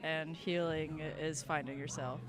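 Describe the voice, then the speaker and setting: A woman's voice: deep voice, steady tone, measured pace, a crowd in the background. A woman giving short, reflective interview answers in simple declarative statements.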